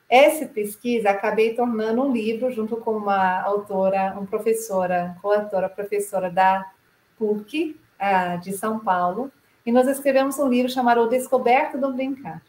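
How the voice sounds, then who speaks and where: Canadian woman speaking in an echoey room. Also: on the high side. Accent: Canadian English. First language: English. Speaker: director of a play advocacy association. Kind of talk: presentation talk to camera.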